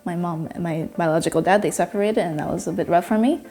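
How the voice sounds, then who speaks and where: A woman's voice: fairly low pitch, fast, mellow, soft background music. A nineteen-year-old woman from Myanmar, a native Burmese speaker, speaking candidly in a personal interview with hesitant restarts. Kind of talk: personal testimony.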